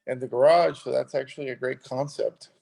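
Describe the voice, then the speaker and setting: A man from Cuba who grew up in Miami, a guest speaking casually and haltingly on a phone video call. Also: mid-range voice, fairly even intonation, creaky, a little mellow. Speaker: guest, real estate investor. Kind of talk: casual interview.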